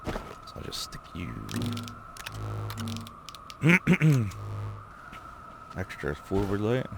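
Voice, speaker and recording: Low, gravelly man narrating gameplay. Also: sing-song, drawn-out words, noisy background. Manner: thinking aloud, rambling with fillers. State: losing his voice. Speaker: gameplay commentator. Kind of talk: casual let's-play commentary.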